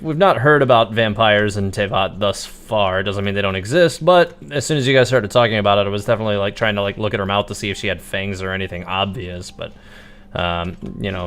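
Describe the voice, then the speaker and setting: A man's voice: fairly low pitch, a bit bright. A man livestreaming a video game, giving casual commentary in a musing, hesitant, speculative way.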